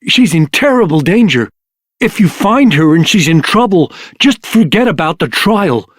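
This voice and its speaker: A man's voice: high voice, sing-song, moderate pace, no room sound, clean studio sound. A man playing an injured, worried companion in a video game, earnest and strained. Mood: worried, pained. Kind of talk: video game dialogue acting.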